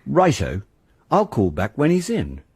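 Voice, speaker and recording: A man voicing an officious, over-bright Neighbourhood Watch caller who is polite and persistent, in a radio drama. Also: mid-range voice, huge pitch swings, measured pace, husky, dry recording, pitched above the performer's own voice, full character voice. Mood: chirpy, officious.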